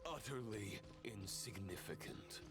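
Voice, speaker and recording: An actor playing a proud, scornful ancient knight in a video game, speaking in a grandiose, archaic and deliberate manner. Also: male voice, lowish voice, natural voice. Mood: grave, scornful. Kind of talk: dramatic video game voice acting. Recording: loud music under the voice. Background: loud music.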